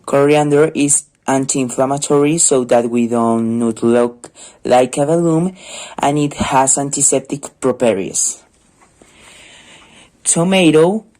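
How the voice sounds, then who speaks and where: A male presenter with a Colombian Spanish accent reads his cooking segment slowly, pausing on fillers as he goes. His voice sits at a middle pitch. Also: darkish tone, rough recording. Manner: slow, hesitant reading with fillers. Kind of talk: reading a school-project cooking segment.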